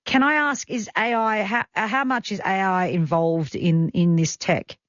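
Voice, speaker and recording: A woman's voice: mid-range voice, a little slow, no room sound. Chatty Australian woman hosting radio. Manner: chatty, enthusiastic. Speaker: radio host. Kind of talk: live radio interview. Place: radio studio. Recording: slightly dull radio broadcast audio.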